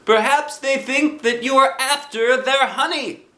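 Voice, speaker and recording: Guy doing a little storybook boy, high voice, helpful n agreeable, roomy sound. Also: measured pace, pushed and bright, heavily acted. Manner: simple, brief, agreeable answers. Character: helpful young boy, fond of his bear. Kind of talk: children's storybook read-aloud.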